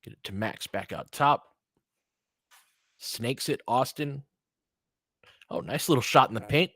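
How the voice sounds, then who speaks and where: A man's voice: on the low side, fairly bright tone, slightly rough, no room sound, studio quality. A man calling a basketball game in a conversational, slangy way, swearing casually as he mixes play-by-play with analysis. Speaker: podcast host doing play-by-play.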